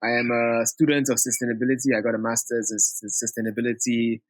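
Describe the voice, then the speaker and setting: A man from Ghana, a guest in an interview, talking in a thoughtful, relaxed way with many pauses. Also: mid-range voice, dry recording. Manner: thoughtful, hesitant with fillers, relaxed. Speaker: guest, food movement director. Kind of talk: casual interview.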